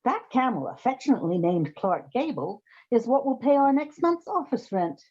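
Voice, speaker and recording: Wry, matter-of-fact heroine detective played by a woman, radio comedy, expressive intonation, slightly dull audio. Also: fairly high pitch, moderate pace. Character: wry, practical woman detective seeking odd jobs. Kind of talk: comic old-time radio play acting.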